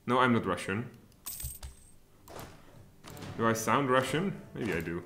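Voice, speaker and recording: A man in his thirties with a Czech accent, streaming a strategy game and thinking aloud, with sudden excited outbursts. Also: mid-range voice, measured pace. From Czech Republic. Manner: mutters thoughts aloud, then bursts out excitedly. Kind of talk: live strategy game commentary. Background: faint music and keyboard typing.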